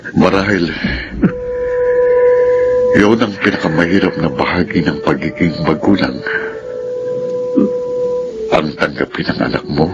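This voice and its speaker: An actor with a Filipino accent playing a middle-aged, weary widowed father in a radio drama, measured and earnest. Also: very deep voice, poor audio. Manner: measured, earnest, heavy-hearted. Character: forgiving, weary widowed father, solemn and accepting.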